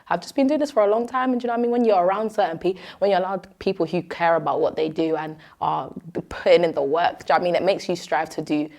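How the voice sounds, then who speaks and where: Young British female, low-pitched voice, casual, expressive content-creator chat. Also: quick pace, hardly any pauses. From Nigerian-born, moved to Britain at three. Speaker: show host and content creator. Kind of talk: casual chat answering interview questions.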